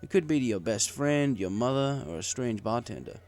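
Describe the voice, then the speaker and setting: A man's voice: medium pitch, animated, fairly quick, darkish tone. A man reading a story aloud in the first person as a bartender narrator. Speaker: audiobook reader. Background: music.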